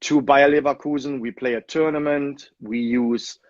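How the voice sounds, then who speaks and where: A man answers in a relaxed, matter-of-fact way at an ordinary middle pitch. His audio sounds dry and a little muffled, with no room echo. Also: moderate pace. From Germany. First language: German. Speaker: soccer club owner and general manager. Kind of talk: casual video-call interview.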